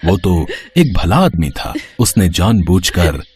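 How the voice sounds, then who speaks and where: A man playing a wise old fakir in an audio folk tale, giving slow, fatherly, solemn counsel. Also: sits low, big pitch swings, a little mellow, heavily acted. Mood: solemn, gentle. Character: wise old male fakir, calm mystic holy man.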